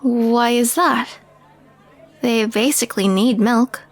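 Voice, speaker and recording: A woman's voice: fairly high pitch, takes it slowly. A woman playing a skeptical, wry young alien woman in an audio roleplay, hesitant and questioning. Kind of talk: intimate audio roleplay acting.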